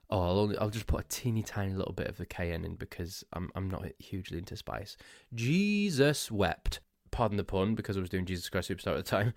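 A man's voice: lowish voice, no room sound, studio quality. A man hosting a solo podcast, stuffy and losing his voice, rambling and self-mocking with frequent laughs. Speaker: podcast host.

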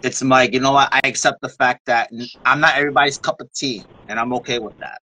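A man's voice: mid-range voice, fairly even intonation, fast talker, bright, forceful tone, low-quality recording. A man in his late thirties hosting a podcast, talking candidly and casually. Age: 30s. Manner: candid, laid-back, swears casually. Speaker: podcast host. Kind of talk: casual chat.

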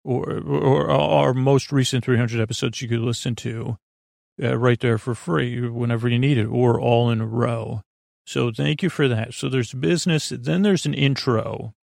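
A man hosting a bedtime podcast in a rambling, improvised monologue, halting and stammering as he meanders into absent-minded, self-deprecating tangents. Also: mid-range voice, fairly even intonation, gravelly, a little mellow, dry recording, clean studio sound. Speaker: host of a bedtime sleep podcast.

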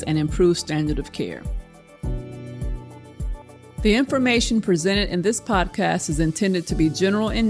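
A woman hosts a medical education podcast, reading her lines in a measured, formal way. Her voice sits a little low. Faint music plays under her words. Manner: measured, formal, read from a script. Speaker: podcast host, medical science liaison. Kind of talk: scripted podcast introduction and interview questions.

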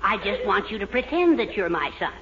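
A man's voice: high voice, very animated, a little fast. A man playing a meek, wistful, fussy middle-aged father, hesitant and coaxing, in 1940s radio comedy style. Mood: reassuring. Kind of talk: 1940s radio sitcom acting. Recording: muffled, hissy 1940s radio recording.

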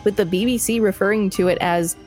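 A woman's voice: medium pitch, steady tone, brisk, a little mellow, faint music behind. A woman podcast co-host reading an article aloud, with casual asides.

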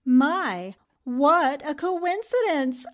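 A woman plays a skeptical lady reporter in a radio drama, amused and teasing. Her voice is high and very animated, with an unhurried, wry delivery. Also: full character voice.

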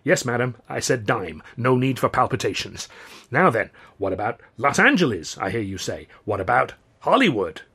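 A man's voice: medium pitch, sing-song, moderate pace, bright, forceful tone, husky, exaggerated voice. A man voicing a boastful, beaming Southern American mayor giving a grandiloquent, rabble-rousing speech in an audiobook. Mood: proud, rousing.

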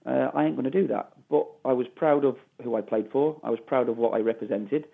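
A man's voice: medium pitch, a little fast, creaky, breathy edge. A middle-aged man with a Mancunian accent, a former footballer answering interview questions in an emphatic way that repeats phrases for stress, heard down a phone line. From England, Manchester. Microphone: phone call.

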